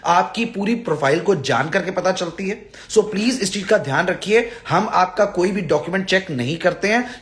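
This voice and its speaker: A man addresses followers on a live stream, blunt and exasperated, scolding and pleading with emphatic repetition. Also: sits high. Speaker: visa advisor and live-stream host.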